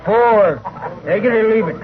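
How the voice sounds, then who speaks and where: A man playing a contemptuous, hard-haggling hide buyer in an old radio western, gruff, curt and scornful. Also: high-pitched, measured pace. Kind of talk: 1950s radio western acting. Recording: thin, tinny old radio with crowd din.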